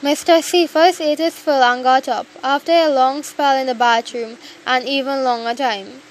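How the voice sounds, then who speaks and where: A woman's voice: sits high, steady tone, moderate pace, a little hiss or hum. A woman narrating a scripted story in the first person.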